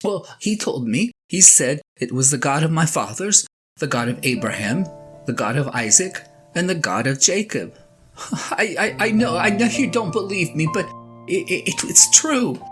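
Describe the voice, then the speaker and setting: Woman acting as elderly shepherd husband, lowish voice, swooping animated pitch, halting, sheepish, excitable, audio drama. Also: faint music behind. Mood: earnest, remorseful. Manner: halting, stammering, flustered. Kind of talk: audio drama monologue, one side of a conversation.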